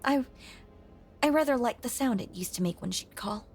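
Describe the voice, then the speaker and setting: A woman playing a lonely, possessive female ghost in an intimate audio drama monologue, hushed and coaxing, with genteel old-fashioned phrasing and a breathy voice that often sinks toward a whisper. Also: on the high side, animated, normal speed, faint music behind. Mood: wistful.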